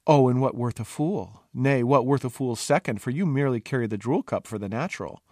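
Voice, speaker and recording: A man's voice: mid-range voice, brisk, warm tone, no echo, studio quality, lightly acted. Man playing a haughty, exasperated princess. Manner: imperious, scolding. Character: haughty, exasperated young princess with sharp tongue.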